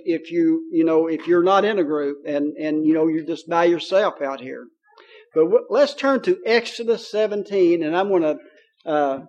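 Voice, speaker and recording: A man's voice: sits high, a little mellow, muffled recording. A man with a Kentucky accent preaching a sermon in a folksy, joking way, with many fillers. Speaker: pastor.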